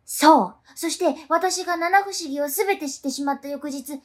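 A woman's voice: high voice, normal speed, faint music behind, lightly acted. A woman playing a quirky, earnest, lovestruck schoolgirl with a dramatic way of talking in a radio drama. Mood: earnest, infatuated. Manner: dramatic, drops musical terms into her talk. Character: quirky, earnest schoolgirl in love with transfer student.

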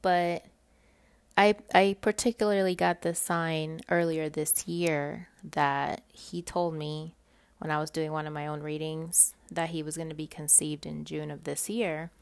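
A woman's voice: sits low, level tone, measured pace, creaky, no echo. A woman with a Puerto Rican accent in her thirties, candidly chatting through a personal podcast monologue and laughing often. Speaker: podcast host.

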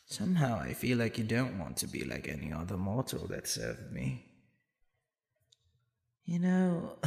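Man playing a gravelly, suave demon boyfriend. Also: mid-range voice, echoey room, exaggerated voice.

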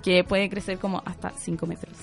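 A woman's voice: fairly low pitch, level tone, fairly quick, gravelly, faint music behind. Chatty woman host, laughing, rambling. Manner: rambling, many fillers, laughs often. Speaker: co-host presenting the segment. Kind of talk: casual podcast chat.

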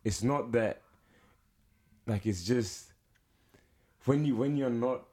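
A man's voice: medium pitch, takes it slowly, mellow. A young man in a casual chat, laid-back, laughing often and restarting his sentences.

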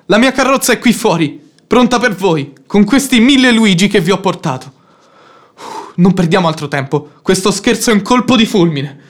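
Anxious gentleman messenger, high voice, formal and urgent, radio drama.

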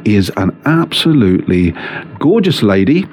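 A man hosts a relaxed radio chat. His pitch moves around a lot, and he often stumbles and repeats himself while he keeps the talk going. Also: unhurried, breathy edge. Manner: chatty, hesitant, repeats words and laughs often. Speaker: radio show host. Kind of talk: casual chat.

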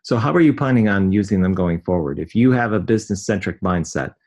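A man's voice: on the low side, animated, fast, darkish tone. A man hosting a podcast who asks his guest direct questions about his work. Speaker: podcast host. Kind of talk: interview questions.

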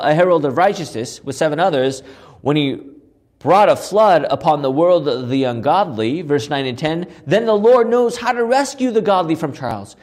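Hoarse, animated man teaching scripture. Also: a little high. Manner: animated, asks questions and answers them himself. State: losing his voice, hoarse. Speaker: pastor teaching a Bible study. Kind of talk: expository Bible teaching.

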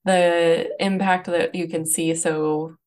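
A woman's voice: fairly low pitch, level tone, slow pace, a little mellow. A woman hosting an interview podcast, putting semi-scripted questions to her guests.

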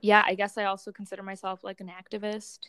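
A woman's voice: medium pitch, monotone, fast talker, fairly bright tone, clean tone, no echo. A young adult woman from Romania co-hosting a casual, reflective podcast conversation, hesitating as she searches for words. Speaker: podcast co-host, doctoral student in psychology.

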